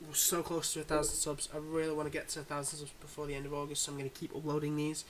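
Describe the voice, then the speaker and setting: A man's voice: fairly high pitch, monotone, very fast, slight background noise. A man giving a casual, hesitant update to his followers as a channel creator, sounding slightly under the weather. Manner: informal, hesitant. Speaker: ASMR voice performer, channel creator.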